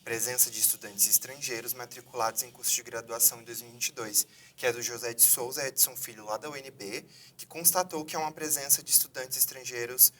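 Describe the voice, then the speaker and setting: A man's voice: mid-range voice, steady tone, fast, hardly any pauses, sharp tone, distant mic. A man hosting a university videocast from a theater, reading formal introductions. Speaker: host.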